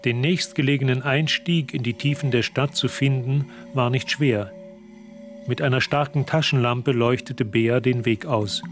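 A man narrating a suspenseful radio drama in a measured, literary manner. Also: mid-range voice, fairly even intonation, over music, lightly acted. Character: composed male storyteller narrating a suspense tale.

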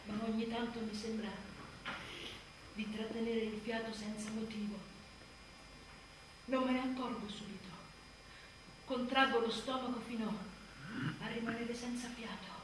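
A woman's voice: a little high, little pitch movement, a little slow, long pauses, natural voice. An actress playing an exhausted young mother on the edge of breakdown in a theatrical monologue, repetitive and volatile as she tries to soothe herself. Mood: brittle self-reassurance. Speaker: stage actress. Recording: very echoey, distant, noisy stage recording.

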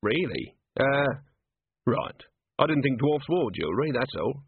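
A wary watch commander gives short, suspicious orders, as a man reads him. The voice is husky and lively in pitch. It comes through a narrow, phone-like channel. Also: measured pace, no room sound, full character voice. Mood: curt. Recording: phone-like narrow band, dry.

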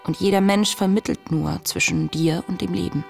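Radio drama diarist: young woman, searching and contemplative, deep register with plenty of fry. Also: steady tone. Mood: solemn, reflective. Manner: contemplative, measured, intimate. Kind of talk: radio play, diary read as monologue. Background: music.

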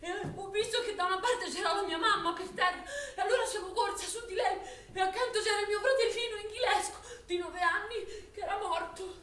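An actress playing a grave narrator and witness of a wartime massacre, in a dramatic stage style. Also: female voice, very high-pitched, brisk, hardly any pauses, fairly bright tone. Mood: sorrowful testimony. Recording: roomy stage sound, faint noise.

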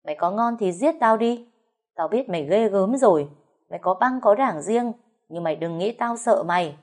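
A woman voicing a brash, drunk older brother who bullies and taunts loudly. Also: mid-range voice, animated, normal speed, lightly acted. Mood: taunting. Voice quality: loud and taunting.

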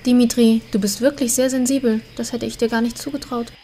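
A woman's voice: on the high side, steady tone, brisk, mellow, slight background noise, natural voice. A woman playing a teasing, doting blood elf mage in a comedy audio drama. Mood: tender.

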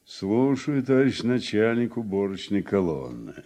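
A man acting in a Soviet radio drama as a steady, wry older farm worker, folksy and gently chiding. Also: lowish voice, normal speed. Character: wry, steady older farmhand, mentor to the young.

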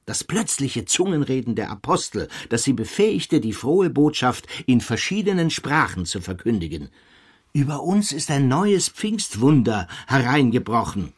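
An actor playing an earnest, irritable middle-aged scholar in a German radio play, speaking in elevated, emphatic, bookish phrases. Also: male voice, medium pitch, sing-song, normal speed, slightly rough. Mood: fervent. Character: earnest, irritable male scholar enraptured by a miracle.

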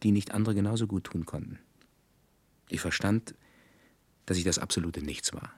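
A disillusioned slave worker narrates his awakening in a science fiction radio play. His low, husky voice is gravelly and close in a dry studio. He speaks gravely, with deliberate emphasis. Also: a little mellow, no echo. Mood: shaken, bleak. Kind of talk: 1970s German radio drama narration.

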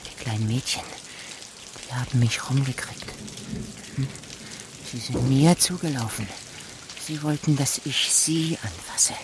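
An actor playing a creepy, self-justifying gamekeeper and killer in a radio drama, halting and lingering on words. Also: male voice, mid-range voice, noisy background, lightly acted. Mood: obsessive, unrepentant.

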